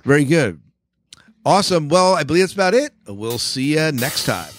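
A man's voice: on the high side, sing-song, moderate pace, over loud music. A man hosting a podcast in a casual, chatty co-host style.

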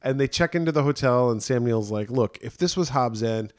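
A man's voice: mid-range voice, a little fast, no room sound. Dry, close-sounding male podcast host. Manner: animated retelling with quoted lines. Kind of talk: casual movie recap chat.

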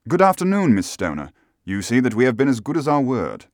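A man voicing a shrewd, courteous gentleman detective with a brisk, authoritative manner in an audiobook. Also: mid-range voice, wildly swooping pitch, dry recording, clean studio sound, voice pushed up. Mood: reassuring, commanding.

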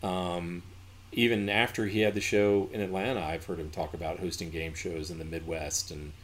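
A man's voice: low voice, fairly even intonation, moderate pace, fairly bright tone, a little hiss or hum. A man with a Southern accent, a podcast guest chatting casually and telling rambling anecdotes. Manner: storytelling, rambling with restarts. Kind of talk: casual chat.

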